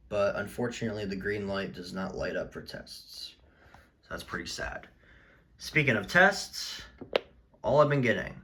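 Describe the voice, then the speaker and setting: A man talking casually in a halting, rambling way as he presents his collection in a vlog. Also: lowish voice, a bit bright, a little hiss or hum. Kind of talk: casual show-and-tell vlog.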